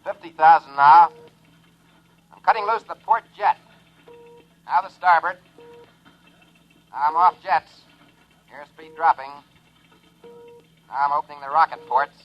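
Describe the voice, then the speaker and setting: A man's voice: on the high side, a little slow, pauses often, lo-fi sound, lightly acted. An American man playing a cocky, daring test pilot in a 1950s radio drama, giving breezy, clipped reports. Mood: businesslike, confident. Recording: old 1950s radio broadcast recording.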